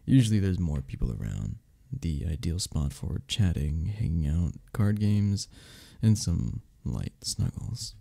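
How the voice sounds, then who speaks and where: A man playing a flirty, friendly club member in an ASMR romance roleplay, teasing and reassuring in a breathy, gravelly voice. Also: low-pitched, warm tone, full character voice. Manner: teasing, reassuring, chuckles between phrases. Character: flirty, friendly male cuddle club member showing a newcomer around. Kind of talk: ASMR romance roleplay, one-sided conversation.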